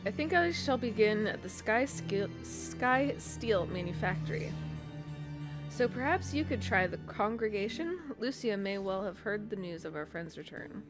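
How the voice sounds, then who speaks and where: An earnest, outspoken young elf woman, voiced by a female player in a fantasy game. She is brisk and sure of herself, with game music clearly behind her. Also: medium pitch, animated, lightly acted.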